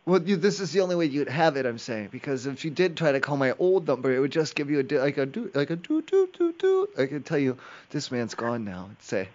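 A man's voice: a little high, very animated, brisk, a little mellow. A man making an improvised prank phone call, rambling, stammering and pestering whoever he has reached. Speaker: prank caller.

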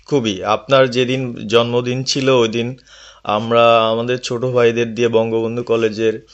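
A man speaks over a muffled, low-fidelity phone call. His pitch is ordinary for a man. He reports as a local activist to a superior, respectful and somewhat hesitant. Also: level tone, unhurried. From Bangladesh. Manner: deferential, hesitant. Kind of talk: informal phone report to a leader. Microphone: telephone.

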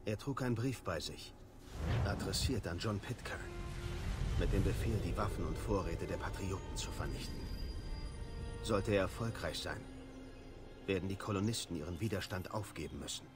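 An actor voicing a grim young warrior in a video game, serious and earnest, with a lowish voice. Music plays under him. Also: male voice, normal speed, long pauses, lightly acted. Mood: grave. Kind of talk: German video game dub. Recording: game audio with music behind.

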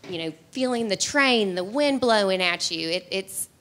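A woman's voice: mid-range voice, lively intonation, moderate pace, fairly bright tone. A young woman guest on a studio talk show, speaking into a handheld mic in an upbeat, chatty way with some fillers. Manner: upbeat, laughs easily, fillers. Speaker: guest promoting an attraction. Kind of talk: casual promotional chat. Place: diner-style TV studio set with audience.

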